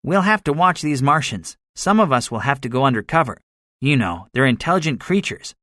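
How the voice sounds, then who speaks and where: Man playing cynical soldier, boastful schemer, highly animated intonation, pitched up, dry studio sound, storybook drama. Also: fairly quick, no echo. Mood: boastful, scheming. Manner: emphatic, swaggering, animated. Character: cynical, boastful male soldier, excitable survivor with grand schemes. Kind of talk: dramatized audiobook dialogue.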